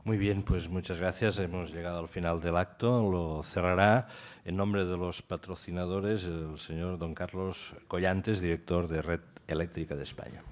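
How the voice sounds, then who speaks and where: Low-voiced, hesitant male moderator. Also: steady tone, normal speed. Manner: hesitant, roundabout, courteous formal phrasing. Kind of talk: formal moderating of a conference. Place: hotel banquet hall, panel table.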